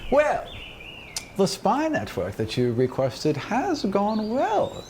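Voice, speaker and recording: A man voicing a loyal female spymaster who reports to her ruler in a formal, measured, deferential manner. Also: high voice, huge pitch swings, background noise, pitched above the performer's own voice, heavily acted. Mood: formal, dutiful.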